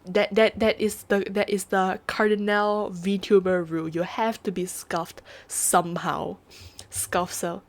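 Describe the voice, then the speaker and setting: A woman's voice: medium pitch, level tone, unhurried. Sniffly giggling young woman. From Singapore. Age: young adult. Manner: giggly, rambling, self-mocking. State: sniffly, with a runny nose. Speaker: VTuber streamer. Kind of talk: casual live-stream chat. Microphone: home streaming microphone.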